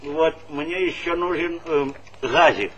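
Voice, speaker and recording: A man's voice: fairly high pitch, slow, highs cut off. An actor playing a middle-aged collective farm chairman in a Soviet stage comedy, hearty, fatherly and insistent, haggling and hesitating mid-phrase. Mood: wheedling, insistent. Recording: noisy old 1970s theatre recording.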